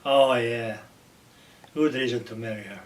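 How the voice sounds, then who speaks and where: A man's voice: mid-range voice, unhurried. An older man joking wryly about his marriage in a casual chat. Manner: wry, joking complaints. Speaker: interviewee, husband. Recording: distant mic with loud background noise.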